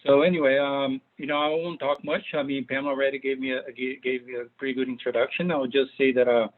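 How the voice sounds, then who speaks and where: A man's voice: medium pitch, flat delivery, fast talker. A man from Brazil with a Brazilian Portuguese accent, giving a webinar talk as guest speaker over a video call, hesitant with many fillers. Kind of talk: webinar presentation. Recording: tinny phone-like call audio.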